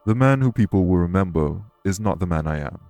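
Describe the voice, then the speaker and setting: A man playing a courteous, dour immortal king in an audio roleplay, speaking in a formal, measured way. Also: low voice, lively intonation, a little mellow, no echo, faint music behind. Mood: calm, explanatory. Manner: formal, measured, gravely polite. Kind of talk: immersive audio roleplay acting addressed to listener.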